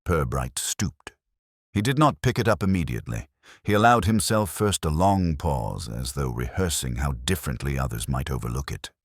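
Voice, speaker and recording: A man narrating a scripted mystery story in a measured, dryly wry manner. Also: rumbling low, lively intonation, no echo, clean studio sound.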